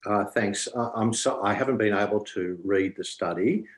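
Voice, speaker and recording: A man answering haltingly as a candidate at an online forum. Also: on the low side. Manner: halting, hesitant delivery. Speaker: election candidate. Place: online video call.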